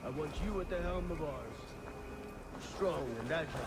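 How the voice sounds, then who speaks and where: An actor playing a brisk, decisive Viking war leader in a game cutscene, speaking tersely and with command. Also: male voice, a little high, animated.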